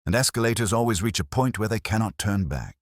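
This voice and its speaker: A man voicing a calm, shrewd police inspector who talks quietly and probes lightly. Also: lowish voice, no room sound, clean studio sound, voice pushed up, close to an everyday voice. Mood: calm probing. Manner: quiet, measured, lightly probing.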